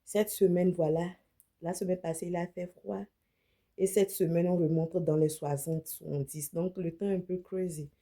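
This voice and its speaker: A woman's voice: fairly low pitch, fairly even intonation, moderate pace, mellow. A woman with a slight cold, chatting casually as she demonstrates a wig and mixes English words into her talk. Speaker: beauty vlogger and image consultant. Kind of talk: casual wig unboxing demo.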